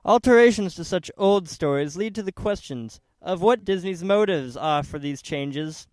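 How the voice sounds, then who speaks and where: A man's voice: high voice, darkish tone, clean tone, no room sound. A man narrating a documentary from a script in a formal, careful, essay-like manner. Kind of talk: scripted narration, read aloud.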